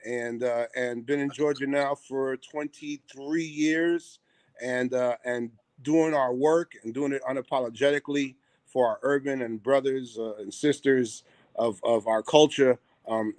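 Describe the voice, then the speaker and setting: A man's voice: mid-range voice, moderate pace, a little mellow, no echo. A middle-aged man from Puerto Rico, raised in New York, with a New York accent, talking earnestly over a remote call as a theater owner and chef, full of ums and restarts. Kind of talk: casual interview chat. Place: theater seat on a live call.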